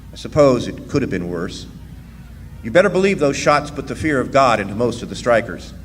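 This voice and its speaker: A man's voice: medium pitch, fairly bright tone, natural voice. A man playing a plainspoken striking miner who narrates his own story in a radio drama. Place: live stage performance in a hall. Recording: long hall reverb, faint noise.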